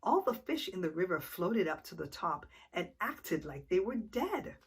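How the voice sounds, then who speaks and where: Female storytime reader: lowish, husky, expressive, addressing young children. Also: normal speed. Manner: asks the young listeners questions.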